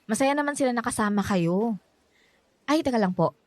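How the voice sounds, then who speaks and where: A woman's voice: on the high side, expressive, moderate pace, a little mellow, dry recording, lightly acted. An actress playing a friendly young Filipino woman in a radio drama, speaking politely with a playful, slightly hesitant manner and a Filipino accent. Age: young adult. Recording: background music.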